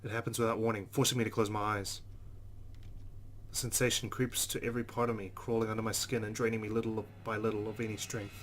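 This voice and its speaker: A man's voice: on the low side, level tone, fast talker, a little hiss or hum. A man hosting a let's play, narrating a visual novel aloud and adding his own asides.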